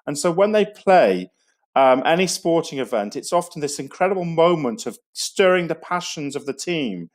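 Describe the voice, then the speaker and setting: A man's voice: fairly high pitch, animated, moderate pace. A middle-aged man, a school leader, giving an enthusiastic, digressive online assembly talk to students.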